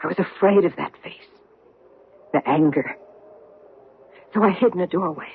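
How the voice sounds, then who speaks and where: An actress in an old-time radio drama playing a vengeful survivor confronting her enemy with deliberate, accusing intensity. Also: on the low side, breathy edge. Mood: bitter, haunted. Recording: muffled vintage radio recording.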